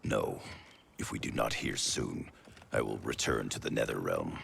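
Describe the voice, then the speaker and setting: An actor playing a grim, wary warrior in a fantasy fighting game, terse and stern. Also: male voice, extremely low pitch, normal speed, vocal fry, a bit bright, slight background noise, heavily acted. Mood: grave. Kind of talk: dramatic video game acting.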